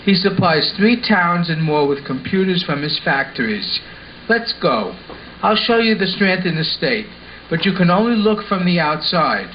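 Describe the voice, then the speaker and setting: Man playing a high-voiced earnest polite boy. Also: sing-song, moderate pace. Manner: earnest, simply explaining. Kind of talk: amateur puppet show acting. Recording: muffled home recording with hiss.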